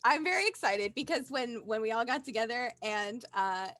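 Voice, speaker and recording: A woman chatting casually on a livestream as a game player, gushing and excitable. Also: a little high, normal speed, dry recording.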